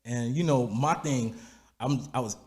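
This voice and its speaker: A raspy-voiced man, a music producer and singer, telling stories in a relaxed, rambling way in a podcast interview. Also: medium pitch, unhurried. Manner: relaxed, rambling, repeats "you know what I'm saying". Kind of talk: casual interview storytelling.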